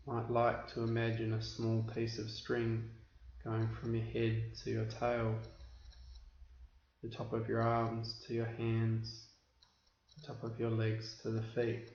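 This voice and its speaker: A man leads a guided meditation in an extremely even, unvarying tone at a middling pitch. His instructions are measured, with deliberate pauses between phrases. The recording is roomy and somewhat muffled. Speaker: meditation teacher. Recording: roomy, dull recording with background hiss.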